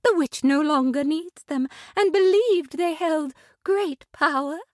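This is a graceful good witch from a storybook radio play, voiced by a woman narrator. She speaks tenderly and calmly in a lilting, much higher voice. The sound is dry and close. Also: measured pace, no room sound.

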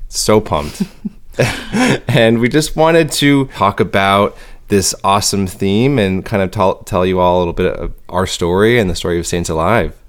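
A man's voice: low voice, normal speed, clear, resonant tone. A young man co-hosting a podcast, talking earnestly and reflectively in casual chat. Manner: earnest, reflective, laughs easily. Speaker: podcast co-host.

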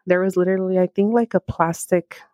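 A woman hosting a solo podcast, her voice scratchy as she recovers from illness, rambling chattily with lots of fillers while alone in a quiet office on a small handheld mic. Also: on the low side, steady tone, fairly quick, no room sound, clean studio sound. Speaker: podcast host. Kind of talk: casual solo podcast chat. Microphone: small handheld microphone.

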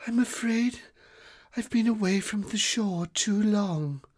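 Man voicing a fading fairy lady, soft, faint, high. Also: takes it slowly. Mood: weak. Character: weak, fading female sand fairy guardian.

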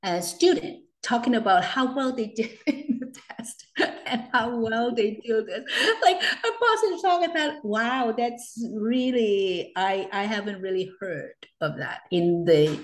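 Warm-toned guest, woman, 50s, higher pitch, giggly storyteller, echoey room. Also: expressive, unhurried. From Hong Kong. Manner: chatty, laughs often, acts out quoted voices. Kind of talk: casual interview chat.

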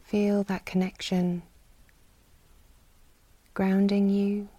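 A woman guiding a body scan meditation in a soft, breathy, soothing way, leaving long pauses between short phrases. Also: medium pitch, level tone, no room sound. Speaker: meditation guide.

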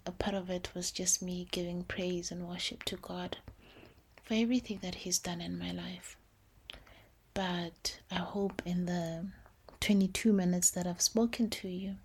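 A woman hosting a podcast, speaking softly and calmly in a reflective faith talk, slipping between English and Sesotho phrases. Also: lowish voice, a little hiss or hum. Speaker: podcast host.

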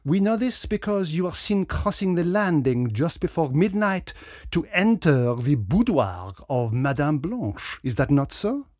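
A man voicing a pompous, dapper little detective with a French accent, theatrical and deliberate, in a mystery story. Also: a little high, big pitch swings, darkish tone, no echo, clean studio sound, voice pushed up. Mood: smug, probing. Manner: pompous, self-regarding, deliberate.

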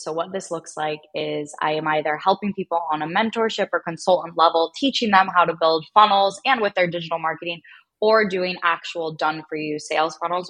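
A woman's voice: sits low, fairly bright tone. An American woman as a podcast guest, explaining things in an informal, instructive way. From United States. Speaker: podcast guest, marketing and funnel strategist. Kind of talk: explanatory interview talk.

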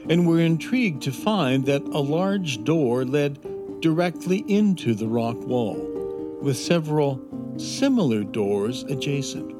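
A man narrating a children's fantasy adventure in a scripted storytelling style. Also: mid-range voice.

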